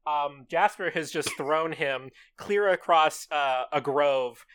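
A woman's voice: sits low, takes it slowly, fairly bright tone, smooth. A woman co-hosting a comedy podcast, joking and giggly with a playful drawl. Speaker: podcast co-host. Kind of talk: casual comedic chat.

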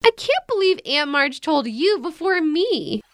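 An actress playing an outspoken, wisecracking young American elder sister in a naturalistic modern audio drama, with blunt, casual banter. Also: female voice, sits high, very animated, measured pace, fairly bright tone, no room sound, heavily acted. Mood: eager, exasperated. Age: young adult.

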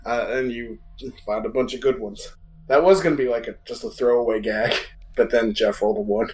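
Casual male game master, mid-pitched. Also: a little fast, darkish tone, poor audio. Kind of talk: improvised tabletop game narration.

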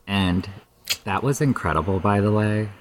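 A podcast guest chatting casually in a halting, hesitant way. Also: sits low, a little hiss or hum. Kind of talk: casual chat.

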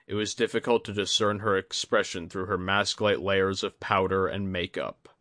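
Male fantasy audiobook narrator: deeper than average, measured literary read, close dry sound. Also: fairly even intonation, fairly bright tone, no echo. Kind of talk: scripted fantasy story narration.

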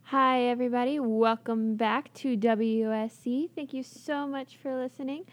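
A woman's voice: on the high side, steady tone, unhurried. A young woman hosting a college radio interview, chatty and bubbly, with lots of filler words. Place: radio studio.

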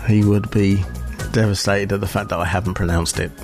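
A man's voice: fairly low pitch, fairly even intonation, fairly quick, darkish tone. Brief: British male podcast co-host, easygoing chat, laughs a lot, faint music behind. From United Kingdom. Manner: chatty, laughs often, many restarts. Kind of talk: casual chat.

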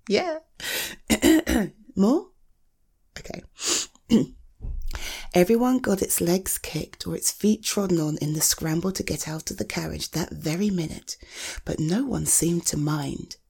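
A woman with a soft, breathy voice speaking intimately and soothingly to one listener, as if at bedtime with a storybook. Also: lowish voice, lively intonation, slow pace, long pauses. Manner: soothing, affectionate, coaxing. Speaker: audio host reading a bedtime story to the listener. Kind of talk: intimate personal bedtime roleplay and storybook reading.

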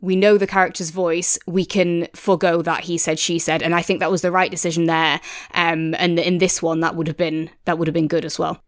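Low-voiced woman chatting in a dry space. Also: little pitch movement, a little fast, no room sound. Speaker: podcast co-host reviewing audiobooks; works in audio production. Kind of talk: casual review chat.

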